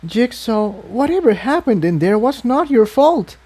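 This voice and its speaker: A man puts on a young female pony for a storybook, pitched far up. She is gentle and anxious, speaking with tender concern. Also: very animated, a little slow, heavily acted. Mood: tender, reassuring.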